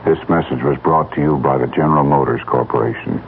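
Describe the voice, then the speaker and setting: An American man reading a vintage radio safety announcement in a grave, deliberate way. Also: rumbling low, creaky, loud music behind the voice. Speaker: public service announcer. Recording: tinny vintage radio, loud noise behind.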